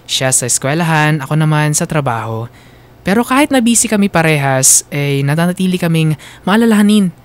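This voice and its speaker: A woman's voice: sits low, lively intonation, a little fast. A young Filipina telling her love story in a radio drama. She narrates in the first person, sentimental and confiding, with fond attachment in the delivery. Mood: fond, wistful.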